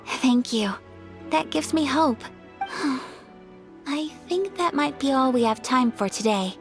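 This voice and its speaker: A woman's voice: high-pitched, lively intonation, takes it slowly, full character voice. A woman voicing a refined, gracious young noblewoman who speaks in formal, courteous phrasing. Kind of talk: game dialogue. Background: game music.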